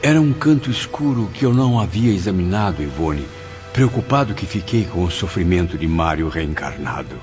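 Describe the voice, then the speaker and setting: A man's voice: low voice, big pitch swings, normal speed, a little mellow. A man playing a compassionate, awed spirit who recounts his visions in an audio drama, earnest and troubled. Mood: earnest, curious. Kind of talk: audio drama acting and narration. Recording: dull lo-fi audio, music bed.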